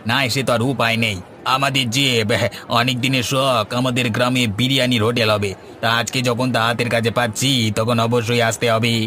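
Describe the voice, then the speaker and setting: A man's voice: mid-range voice, little pitch movement, fairly quick, sharp tone, a little hiss or hum, close to an everyday voice. Man voicing a chatty village customer. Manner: chatty, inquisitive. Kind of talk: Bengali cartoon voice acting. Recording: background music.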